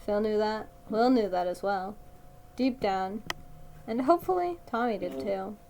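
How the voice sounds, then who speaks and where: A woman's voice: mid-range voice, expressive, a little slow, a little mellow, slight background noise, low-quality recording. A woman reads a story aloud and breaks in with blunt, mocking, sweary casual commentary. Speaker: reader reacting to a fan fiction story.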